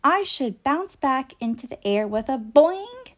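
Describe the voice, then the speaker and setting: A woman's voice: high-pitched, sing-song, a little slow, pitched above the performer's own voice. A woman voicing a nervous, childlike male toy ball in a storybook, hesitant and full of protest. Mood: glum.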